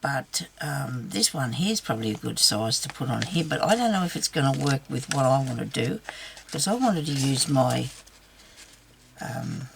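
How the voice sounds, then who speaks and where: A middle-aged woman with a low raspy voice, chatting and rambling to viewers through a crafting demo. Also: lively intonation, normal speed, slight background noise. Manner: rambling, self-chuckling, talks to viewers. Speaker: craft vlogger.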